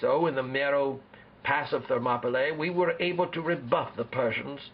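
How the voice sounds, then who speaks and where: A man playing a proud, solemn ancient Spartan king who speaks formally and deliberately in an amateur role-play interview. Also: mid-range voice, steady tone, slightly rough, muffled recording.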